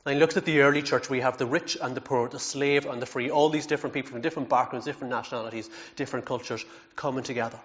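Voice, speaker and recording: A man's voice: mid-range voice, steady tone, very fast, few pauses, a bit bright, dull audio. A man preaching a sermon in an earnest, emphatic way, with self-deprecating asides and frequent repeated words.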